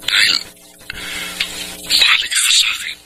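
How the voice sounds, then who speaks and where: A man acting a devoted, gentle suitor in a Filipino radio drama, speaking earnestly and insistently. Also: moderate pace, close to an everyday voice. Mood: tender, earnest. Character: devoted, gentle male suitor offering help. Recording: clearly noisy radio drama recording.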